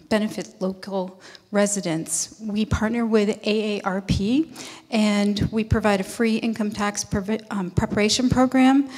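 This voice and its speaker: A woman in her forties reading a prepared statement into a handheld mic at a town meeting. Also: mid-range voice. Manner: steady, reads from notes. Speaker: nonprofit representative. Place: large meeting hall. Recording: long hall reverb.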